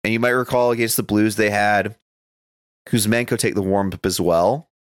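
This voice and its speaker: A man's voice: fairly low pitch, steady tone, moderate pace, dry recording, studio quality. A man co-hosting a podcast, chatting casually about sports in a rambling, conversational way. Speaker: podcast co-host.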